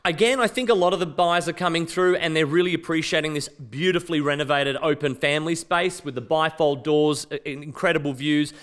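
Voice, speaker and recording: A man, a real estate agent with a loud voice, enthusiastically talking through a house on camera. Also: fairly high pitch, a little fast, hardly any pauses. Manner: loud, enthusiastic, self-joking. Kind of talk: property walkthrough chat. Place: outdoors at a house.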